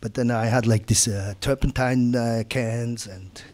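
A middle-aged man from Switzerland, an artist on a gallery panel, speaking haltingly into a handheld mic. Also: mid-range voice, steady tone, a little mellow. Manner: slow, halting. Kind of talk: panel discussion. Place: gallery room with audience.

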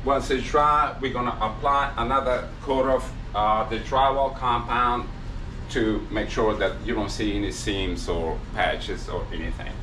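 A man's voice: medium pitch, unhurried, noisy background. A man in his fifties, originally from Afghanistan, explaining a drywall repair step by step in a plain way with frequent fillers, in a small bare room. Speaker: drywall painter. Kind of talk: hands-on repair demonstration.

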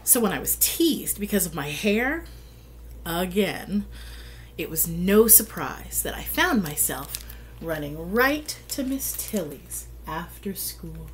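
Middle-aged woman, unhurried storybook read-aloud, expressive delivery, medium pitch, slight background noise from a home webcam recording. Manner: deliberate, expressive storytelling delivery with drawn-out phrases. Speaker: host reading a children's picture book aloud. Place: small plain room indoors.

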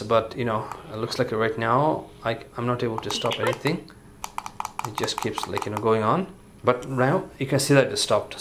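An everyday man's voice of ordinary pitch talks through a gadget demo in a relaxed, rambling way, full of "you know" and "uh". He is off-screen in an indoor room, and a faint background hiss sits under his voice. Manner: relaxed, filler-heavy, says "you know" often. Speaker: product reviewer. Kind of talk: casual hands-on product demonstration.

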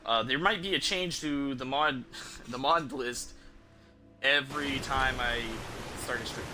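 A young man giving casual live game commentary in a rambling, informal, sweary way. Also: medium pitch. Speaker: video game streamer. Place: indoor room at a streaming setup. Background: game music and sounds.

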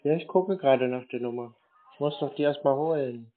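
A man baiting a phone scammer, unhurried and playing dumb, answering in short replies. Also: mid-range voice. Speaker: scam baiter. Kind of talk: phone call. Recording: tinny phone line.

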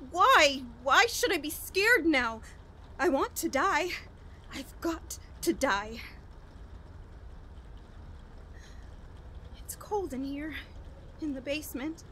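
A woman playing a despairing, bitter young murderess, halting and brooding, in an old-style radio horror drama. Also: high-pitched, sharp tone, slight background noise, full character voice. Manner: halting, brooding, with anguished emphasis. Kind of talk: melodramatic 1940s-style radio horror acting.

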